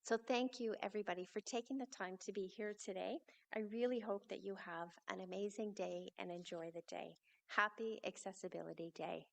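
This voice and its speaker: A woman delivers a formal public speech from a podium microphone in a large city hall space. Her voice has a gravelly edge, and she talks earnestly, pausing now and then on small fillers. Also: medium pitch, fairly even intonation, a bit bright. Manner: earnest, slightly unscripted with fillers. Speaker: city official giving a speech.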